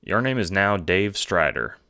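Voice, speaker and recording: A man's voice: low-pitched, big pitch swings, unhurried, bright, forceful tone, no echo, studio quality. A man narrating a scripted second-person audiobook drama.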